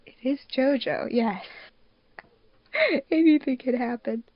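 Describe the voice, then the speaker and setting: Woman, casual podcast talk, dry close sound, dull low-fidelity recording. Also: takes it slowly, no room sound. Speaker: podcast panelist, fandub production crew member. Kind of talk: casual group podcast chat.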